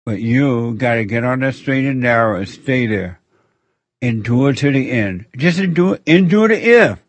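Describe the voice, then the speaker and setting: A man's voice: mid-range voice, lively intonation, a little slow, no room sound. A man hosting a call-in show, talking in a preachy, repetitive way and taking callers. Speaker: radio call-in show host.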